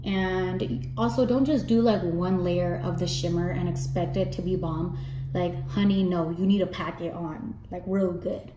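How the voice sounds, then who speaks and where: A young woman in her twenties, with a slightly raspy voice that is deep for a woman, chatting casually and candidly to her viewers while doing her makeup in a room at home. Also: steady tone, a little slow, reverberant, background noise. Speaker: makeup artist and beauty vlogger. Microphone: camera in front, face framed close.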